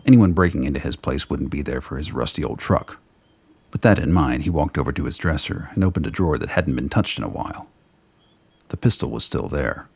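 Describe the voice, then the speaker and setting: Dude narrating a radio drama, super deep voice, husky with lots of fry, reading from a script. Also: male voice, animated, a little fast. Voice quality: very deep, gravelly.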